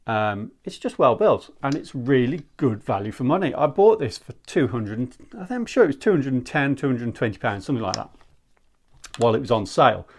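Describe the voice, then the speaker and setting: A man's voice: mid-range voice, big pitch swings, brisk, darkish tone. A man giving a casual, candid product review in a conversational, rambling way. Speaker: airsoft gear reviewer.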